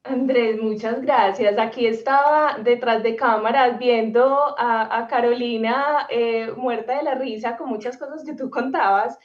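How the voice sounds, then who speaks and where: A woman's voice: on the high side, steady tone, measured pace, hardly any pauses, far from the mic. A woman host from Colombia leading a conversation, thinking aloud and often repeating words as she searches for phrasing. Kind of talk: hosting a conversation. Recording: roomy audio from away from the mic, muffled.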